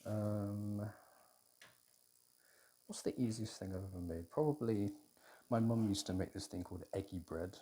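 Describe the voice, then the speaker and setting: A British man with a low, soft-edged, dark voice chats intimately in an ASMR cooking roleplay. He is unhurried and hesitant, working out his words as he goes. There is some background noise with small kitchen clicks behind him. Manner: hesitant, unhurried, thinking aloud. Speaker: audio roleplay performer playing a boyfriend cooking. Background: kitchen food preparation sounds.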